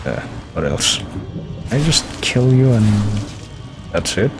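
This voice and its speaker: A man's voice: mid-range voice, very animated, takes it slowly, music in the background. A man streaming a video game, rambling and thinking aloud as he plays. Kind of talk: gameplay commentary.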